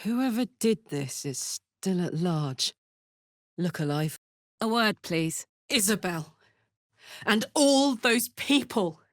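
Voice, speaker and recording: An actress playing a brash, warm-hearted warrior woman in a video game, with an earnest, salty, blunt manner. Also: medium pitch, lively intonation, takes it slowly, dry recording, studio quality. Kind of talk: video game character voice lines.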